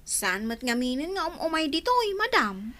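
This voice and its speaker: A woman's voice: high voice, sing-song, moderate pace, sharp tone, exaggerated voice. An actress playing an attentive, inquisitive household helper who coaxes her madam in a radio drama. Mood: concerned, coaxing. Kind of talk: Ilocano comedy-drama radio acting.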